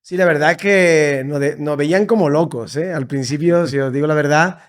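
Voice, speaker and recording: A man in his thirties with an Andalusian Spanish accent, telling his story as a podcast guest in a lively, repetitive way. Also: fairly high pitch, moderate pace. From Seville, Andalusia. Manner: warm, enthusiastic storytelling with frequent repetitions. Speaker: podcast guest, church leader. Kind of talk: casual conversational interview. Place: creative studio in Madrid.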